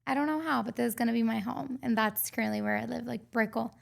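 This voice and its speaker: A woman's voice: fairly high pitch, fast, vocal fry. A woman in her twenties from Costa Rica, a mindset coach talking earnestly as a podcast guest, with many filler words. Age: 20s. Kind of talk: podcast interview.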